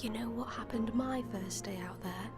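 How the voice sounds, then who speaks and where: A woman voicing a young female assassin, playful, chatty and self-mocking, in a hushed, breathy whisper for an audiobook. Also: on the high side, normal speed, voice pushed up, lightly acted. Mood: teasing whisper. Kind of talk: audiobook character dialogue. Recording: music behind the voice.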